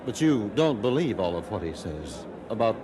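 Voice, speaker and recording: Uneasy townsman, grumbling, hesitant, folksy, swooping intonation, audio drama, clear outdoor noise behind. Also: fairly low pitch, sing-song, normal speed. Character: uneasy, grumbling townsman, gruffly doubtful yet wavering.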